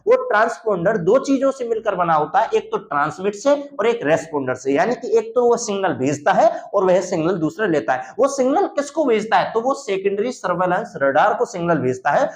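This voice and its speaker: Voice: medium pitch, very animated, brisk, hardly any pauses. A teacher giving an informal explanatory lecture, posing questions and answering them, addressing listeners as friends, recorded in an echoey room. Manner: asks and answers own rhetorical questions. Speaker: teacher explaining air traffic radar.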